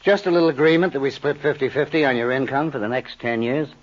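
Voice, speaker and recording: An American actor playing a sly small-time schemer in a 1950s radio crime drama, insinuating and full of false friendliness. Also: male voice, medium pitch, fast talker, natural voice. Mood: needling. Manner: smooth-talking, insinuating, mock-friendly. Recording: dull, muffled old radio recording.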